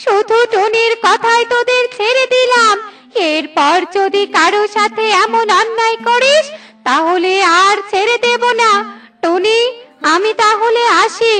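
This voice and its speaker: A woman voicing a stern, scolding witch who threatens others, in a children's cartoon, with music behind her. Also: extremely high pitch. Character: scolding good witch punishing lazy birds.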